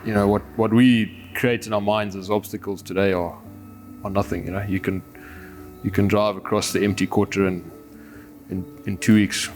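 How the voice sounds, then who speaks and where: A Zimbabwean man speaks in a low register that stays very even from phrase to phrase. His delivery is earnest and conversational, with a philosophical, reflective bent, like an adventurer being interviewed for a documentary. Soft music sits behind his voice. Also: moderate pace.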